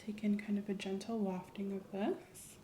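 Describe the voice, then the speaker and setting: A woman playing a calm doctor in an ASMR medical roleplay, speaking softly and methodically as she explains an exam. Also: medium pitch, normal speed, roomy sound, a little hiss or hum, natural voice. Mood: calm, attentive. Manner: gentle, methodical, clinical explanations. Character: calm, professional female doctor doing a patient exam.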